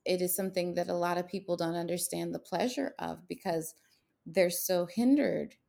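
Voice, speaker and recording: A woman's voice: on the low side, fairly even intonation, normal speed, gravelly, warm tone. A woman whose first language is Haitian Creole, co-hosting a podcast and talking with passion and emphasis. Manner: passionate, emphatic, rhetorical questions. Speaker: podcast co-host. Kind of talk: casual podcast conversation.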